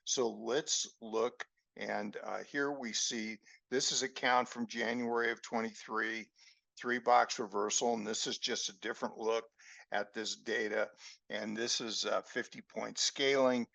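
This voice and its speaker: Slow gravelly man explaining charts. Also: fairly low pitch, fairly even intonation, pushed and bright, no echo. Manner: slow, measured explaining with fillers. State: losing his voice. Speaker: market analyst host. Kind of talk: screen-share chart lesson. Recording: dry, dull lo-fi voice-over.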